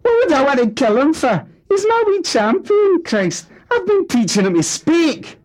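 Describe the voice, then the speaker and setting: A man voicing a fat, hot-tempered middle-aged man who shouts at a strained high pitch. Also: very animated, measured pace, exaggerated voice. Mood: bawling grief.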